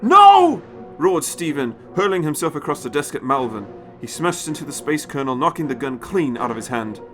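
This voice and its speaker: A man's voice: medium pitch, fairly quick, music in the background. A man giving a dramatic scripted narration of a story as its narrator.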